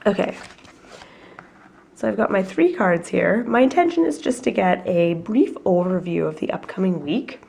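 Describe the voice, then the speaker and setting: A woman with a cold giving a chatty, reflective weekly tarot reading at home, her voice husky and her talk full of fillers. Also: medium pitch, expressive, normal speed, a little mellow. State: has a cold, coughing. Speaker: tarot reader.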